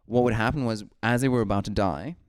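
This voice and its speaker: A man's voice: on the low side, quick pace, no room sound, clean studio sound. A man co-hosting a gaming podcast, rambling through his thoughts with lots of likes and ums. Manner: rambling, full of likes and ums, laughs easily. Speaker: podcast co-host. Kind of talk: casual gaming podcast chat.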